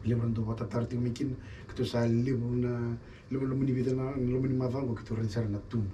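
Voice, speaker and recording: A man's voice: medium pitch, flat delivery, fast, warm tone, lo-fi sound. A man co-hosting a radio talk show, steadily explaining how to take part. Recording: distant mic with faint noise.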